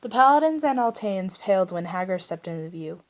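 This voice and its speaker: A woman reading fiction aloud as an amateur storyteller, with an expressive, emphatic and slightly stumbling delivery. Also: mid-range voice, a little fast. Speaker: amateur fan fiction reader.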